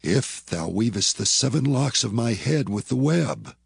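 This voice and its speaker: Gravelly, husky ancient strongman. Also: medium pitch, expressive, no room sound, clean studio sound, exaggerated voice. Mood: guarded, deliberate. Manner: slow, deliberate, archaic speech. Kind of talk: dramatized Bible audio acting.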